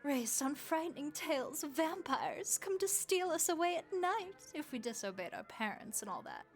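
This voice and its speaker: A woman voicing a friendly, motherly vampire innkeeper who speaks gently and reassuringly to the listener in an audio roleplay. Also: sits high, animated, moderate pace, faint music behind.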